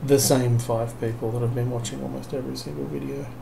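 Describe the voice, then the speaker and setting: Tired, gravelly New man rambling. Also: medium pitch, flat delivery, fast. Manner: self-mocking, wry and rambling, trailing off with fillers. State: tired, unable to sleep. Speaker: vlogger. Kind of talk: casual late-night rambling while building a kit. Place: home at night. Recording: noisy home recording.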